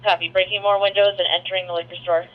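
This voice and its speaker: Fast, high-pitched male radio dispatcher. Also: little pitch movement. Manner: clipped, procedural. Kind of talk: terse radio dispatch, repeating reports back. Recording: noisy, muffled police radio channel.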